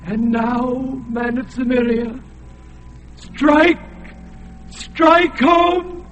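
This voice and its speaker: An ancient, blinded alien god in an old radio play. He sings out his grief in a high, slow and solemn chant, and faint music plays under him. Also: a bit bright, heavily acted. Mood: exultant. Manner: slow, grave, chanting delivery. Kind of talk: radio drama acting.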